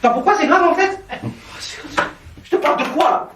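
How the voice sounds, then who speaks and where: A frantic young stage ranter. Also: male voice, extremely high pitch, lively intonation, moderate pace, slight background noise. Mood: agitated. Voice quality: loud, frantic. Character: excitable young man ranting on stage. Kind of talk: stage acting. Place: theater stage. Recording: echoey stage from far off.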